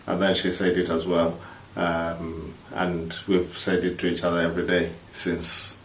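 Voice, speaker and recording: A man, co-hosting a vlog in halting, casual chat. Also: low voice, completely flat delivery. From East Africa, born in Kenya, Ugandan. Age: 30s. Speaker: vlog host. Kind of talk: casual couple chat to camera. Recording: roomy, distant mic, faint noise.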